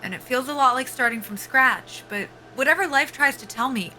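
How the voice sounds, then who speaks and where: An actress playing an earnest, driven young military pilot at a job interview, halting and anxious, in a cinematic audio drama. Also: female voice, high-pitched, bright, forceful tone, slight background noise, low-quality recording. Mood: determined, earnest. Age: young adult.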